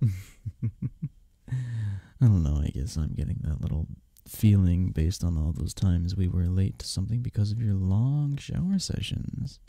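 A man playing a sleepy, affectionate, playful husband in bed, speaking softly and teasingly in an intimate ASMR roleplay, close to the mic. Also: low-pitched, vocal fry, dry recording, heavily acted. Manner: soft, drowsy, teasing, unhurried. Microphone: close, dry microphone.

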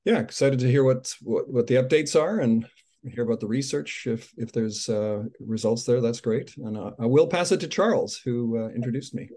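A man introducing himself on a video call, a charity supporter who speaks hesitantly with frequent pauses and restarts. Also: medium pitch, mellow. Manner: hesitant, many fillers and restarts. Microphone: webcam on video call.

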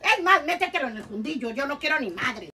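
A woman's voice: high voice, expressive, fairly quick, sharp tone, full character voice. A woman with a Mexican accent playing an ungrateful, foul-mouthed mother who mocks a gift. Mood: scolding. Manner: crude, insulting.

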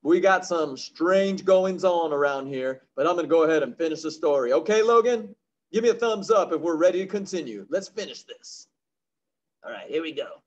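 A man's voice: fairly high pitch, lively intonation, moderate pace, muffled recording. A man reading aloud to young children as a kindergarten teacher, chatty, asking questions and answering them himself.

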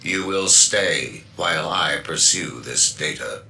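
An actor playing a cold, imperious alien machine-intelligence villain in a video game, gravelly, haughty and deliberate. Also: male voice, extremely low pitch, lively intonation, sharp tone, full character voice. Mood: cold disdain. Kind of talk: video game cutscene acting. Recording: game music behind.

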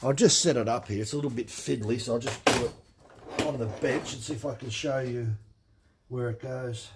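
A man's voice: medium pitch, moderate pace, slight background noise. A middle-aged man, demonstrating a tool in a practical, plain-spoken way at his workshop bench. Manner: plain-spoken and practical, with many fillers and restarts. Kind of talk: casual hands-on tool demonstration. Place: indoor workshop at a cluttered workbench. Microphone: camera mic filming his hands up close.

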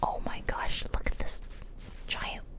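A woman whispering casual, musing ASMR commentary close to the microphone as she plays a game. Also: unhurried. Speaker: game streamer. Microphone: close microphone, ear-to-ear whispering.

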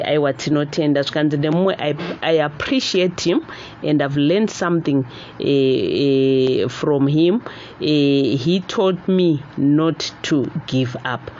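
A woman's voice: low-pitched, a little mellow. A middle-aged woman with a Zimbabwean accent hosts a radio talk show. She moves between Shona and English in a live call-in style. The studio sound is a little dull, with faint noise underneath. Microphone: studio microphone on boom arm.

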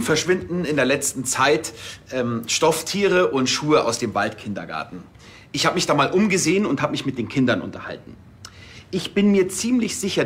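An actor playing a self-serious, bumbling junior policeman in a TV comedy, pedantic and hesitant. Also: fairly high pitch. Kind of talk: naturalistic TV comedy acting.

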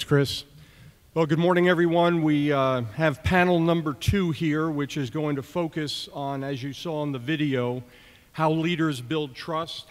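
Male panel moderator, 50s, slightly high voice, formal introductions, reverberant auditorium. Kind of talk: prepared panel introduction to an audience. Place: large auditorium stage. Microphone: table stand microphone. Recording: echoey large hall through PA.

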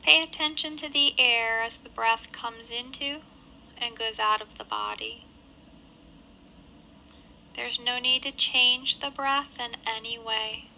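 A woman leading a guided body scan meditation with measured, gentle instructions and pauses between phrases. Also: on the high side, steady tone, creaky, a little hiss or hum, low-quality recording.

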